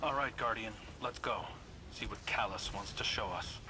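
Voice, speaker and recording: An actor playing a wry, haunted scout in a video game, with a dry conversational delivery. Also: male voice, on the low side, moderate pace, close to an everyday voice. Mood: wry, uneasy. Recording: clear noise behind voice.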